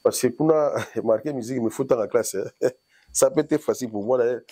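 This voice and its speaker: A man's voice: mid-range voice, brisk, warm tone, breathy edge. A man from Congo, a guest musician, chatting loosely in an interview and rambling as he switches between French and Lingala. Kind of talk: casual interview chat. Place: in Paris.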